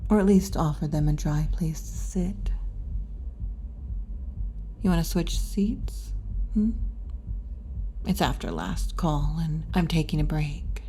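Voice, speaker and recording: A woman voicing a brash yet kindly tomboy wolf girl bartender in an intimate, unhurried ASMR roleplay, often dropping toward a whisper. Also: low-pitched, warm tone, slight background noise. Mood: frank, musing. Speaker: bartender character in audio roleplay.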